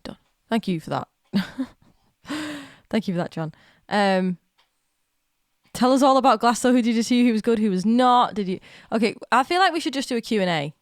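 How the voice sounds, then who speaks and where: A young woman streaming from home, chatting in a rambling, excitable way while getting over a cold with a slight cough. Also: a little high, moderate pace, no echo, studio quality. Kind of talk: casual live-stream chat.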